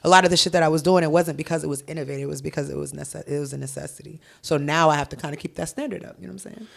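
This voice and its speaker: A young adult woman from Haiti, a guest on a podcast, telling stories in an animated, profane way over a headset mic in a dark studio. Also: low-pitched, very fast, creaky. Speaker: guest, hip-hop artist. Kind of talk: casual podcast interview. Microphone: headset with boom mic.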